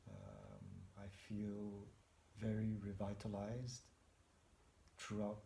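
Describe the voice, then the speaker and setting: A man's voice: on the low side, completely flat delivery, slow, gravelly, mellow. A man, answering as a client in hesitant, short replies. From Singapore. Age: 50s. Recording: echoey room, faint background hum.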